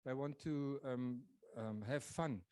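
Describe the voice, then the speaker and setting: A man giving a public lecture presentation, talking in a thoughtful way and pausing often with fillers and restarts. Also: medium pitch, warm tone, smooth, dry recording. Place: lecture venue.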